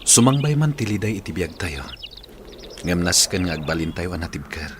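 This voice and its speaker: Low, swooping, sorrowful village man played by an actor. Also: big pitch swings, normal speed, background noise. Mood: wistful. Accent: Ilocano Filipino. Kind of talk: Ilocano radio drama acting.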